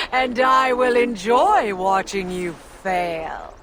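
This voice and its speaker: A woman's voice: mid-range voice, lively intonation, very slow, a bit bright, a little hiss or hum, full character voice. A woman playing a mocking, threatening female fire giant villain in a video game, speaking grandiosely. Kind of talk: dramatic video game acting.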